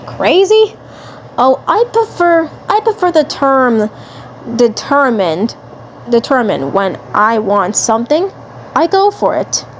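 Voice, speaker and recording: A woman's voice: fairly high pitch, very animated, takes it slowly, a little hiss or hum. A woman voicing a possessive, lovestruck male alien commander in a fan drama, gloating and repeating phrases. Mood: possessive, determined.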